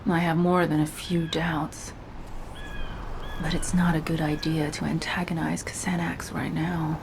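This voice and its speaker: An actress playing a grim, determined young cyborg woman in a video game, speaking in a hushed, low-key way with cold resolve. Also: little pitch movement, measured pace, creaky. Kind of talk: video game cutscene dialogue. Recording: game audio with loud background noise.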